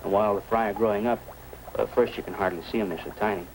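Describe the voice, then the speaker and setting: Quick, chatty actor as a fish hobbyist, old radio. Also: male voice, lowish voice, fairly even intonation, lightly acted, low-quality recording. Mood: enthusiastic. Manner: talkative, folksy, hesitant asides. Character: friendly, chatty, eager male tropical fish hobbyist, crime witness. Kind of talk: 1950s radio drama acting. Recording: old radio recording with background noise.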